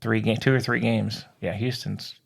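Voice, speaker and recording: A man co-hosting a sports podcast, joking and animated, repeating short phrases with buddy-style slang. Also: fairly low pitch, moderate pace, creaky, husky. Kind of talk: casual sports banter.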